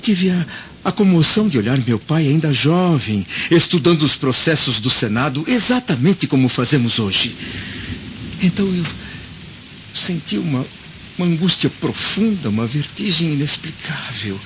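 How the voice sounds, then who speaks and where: A man's voice: a little high, big pitch swings, moderate pace. An actor playing a troubled Roman senator in a radio play, solemn and anguished as he recounts things theatrically. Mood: wistful, solemn, then anguished, questioning. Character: troubled Roman senator recounting a haunting vision of past life. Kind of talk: dramatic radio play acting. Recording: dull lo-fi audio with loud storm noise.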